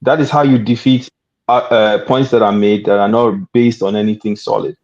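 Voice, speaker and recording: A man's voice: lowish voice, steady tone, moderate pace, a little mellow, dull audio. A man on a panel, arguing heatedly and cutting in to hold the floor. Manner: argumentative, keeps interjecting to hold the floor. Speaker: panel guest.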